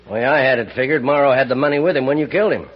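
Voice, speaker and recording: An actor playing a bitter, greedy older rancher with an American accent and a folksy, needling drawl in a radio western. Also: male voice, medium pitch, very fast, breathy edge, close to an everyday voice. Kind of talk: old-time radio western acting. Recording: muffled, noisy old radio recording.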